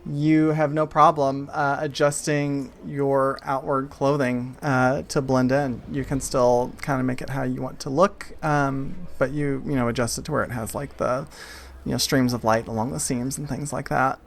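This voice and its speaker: A man running a tabletop game, improvising narration in a halting, conversational way. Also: medium pitch, level tone, vocal fry. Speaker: game master. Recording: faint background noise.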